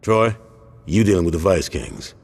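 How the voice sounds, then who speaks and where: A stern street gang boss with a very low, husky voice that rises and falls widely. He speaks in a measured, commanding way in a video game cutscene. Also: expressive, exaggerated voice. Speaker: gang leader.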